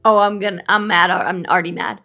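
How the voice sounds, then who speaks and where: A woman's voice: lowish voice, steady tone, fairly quick, bright, forceful tone, soft background music. A woman chatting casually and animatedly with a friend as a co-host, giving opinions in a playfully indignant, slangy way. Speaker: co-host of a bookish video show.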